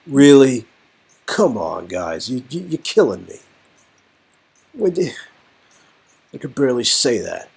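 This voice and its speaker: A man's voice: mid-range voice, big pitch swings, slow, gravelly, long pauses, a little mellow, husky. A man reading an interactive story game aloud as narrator, with casual joking asides.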